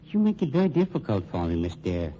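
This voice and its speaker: Dull old radio recording, a smooth sly undertaker, lowish swooping voice, very polite and menacing. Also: male voice, huge pitch swings, fast, dark tone, a little hiss or hum. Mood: coolly threatening. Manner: formal, drawling politeness, insinuating. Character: suave, sly male funeral director, coolly menacing. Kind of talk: old-time radio mystery acting.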